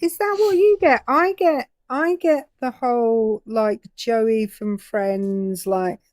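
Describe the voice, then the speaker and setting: A woman's voice: a little high, slow pace, darkish tone, no room sound. A woman with a British accent co-hosting a live stream, joking and self-deprecating. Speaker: co-host. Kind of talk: casual live stream chat.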